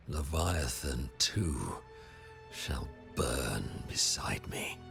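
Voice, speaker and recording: A fallen, fanatical cult leader for a video game. His very deep voice creaks with gravel and slips into whispers, yet stays forceful. He declaims each phrase slowly and solemnly, like a grand last testament. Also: heavily acted. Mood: grim, defiant. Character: fallen zealous male religious leader, grandiose and dying. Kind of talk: dramatic game voice acting.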